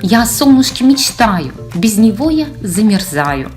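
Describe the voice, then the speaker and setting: A woman's voice: medium pitch, big pitch swings, measured pace, a little mellow, soft background music. A woman voicing a small, clumsy lost bear cub who speaks plaintively in rhyme for a children's storybook.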